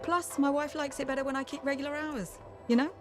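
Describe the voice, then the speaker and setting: An actress playing a tough, streetwise bar owner in a video game, brusque and wry with a no-nonsense manner. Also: female voice, high voice, steady tone, quick pace, over music, lightly acted. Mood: relaxed, reflective. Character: tough, streetwise female frontier bar owner, secretly soft. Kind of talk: video game dialogue acting.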